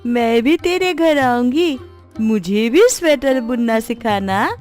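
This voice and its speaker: A woman voicing chatty, teasing village women in a cartoon story, with exaggerated, expressive delivery. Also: on the high side, slow pace, faint music behind. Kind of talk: cartoon moral story dialogue.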